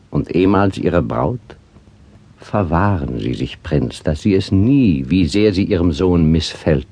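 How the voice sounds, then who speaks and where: A calculating priest at a royal court. His voice is very low, dark and a little raspy. He addresses his betters with measured, insinuating deference in classical drama style. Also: full character voice. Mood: feigned concern. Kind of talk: classical German stage drama acting.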